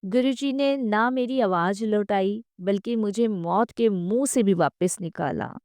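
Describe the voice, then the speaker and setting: A woman's voice: mid-range voice, measured pace, darkish tone, dry recording, studio quality. A woman reading scripted devotional narration in a reverent, earnest manner, often repeating phrases for emphasis.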